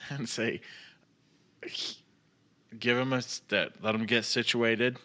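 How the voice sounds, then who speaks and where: A man's voice: mid-range voice, fairly even intonation, slow pace, pushed and bright. A man playing a tabletop game, talking casually as himself and as his character.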